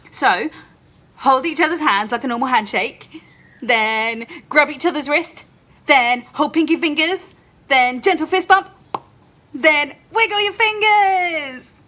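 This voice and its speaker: A woman playing an excitable, playful companion who guides listeners through an interactive audio play with encouragement. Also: high voice, a little slow, pauses often, exaggerated voice. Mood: playful, encouraging.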